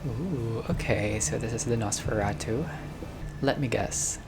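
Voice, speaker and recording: A man's voice: mid-range voice, a little slow, music in the background. A man doing a game playthrough, reading narration aloud and dropping in casual reactions. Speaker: gamer playing a visual novel.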